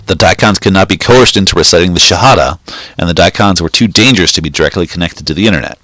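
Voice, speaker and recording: A man reading a short story aloud in a steady, measured way, with the odd small slip. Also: low voice, dry recording. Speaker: reader.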